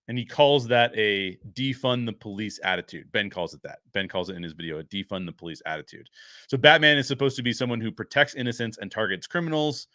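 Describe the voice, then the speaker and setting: A male host talks through a film review off the cuff, with an emphatic, explanatory way of making points. His voice sits fairly low yet has a bright, forward edge. The sound is dry, with hardly any room to it. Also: dry recording. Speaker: show host and commentator. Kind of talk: unscripted film review commentary.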